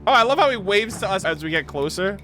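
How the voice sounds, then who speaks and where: A man's voice: high voice, lively intonation, fast, bright, forceful tone, faint music behind. A young man casually reacting to a video game as a streamer, joking and swearing loosely.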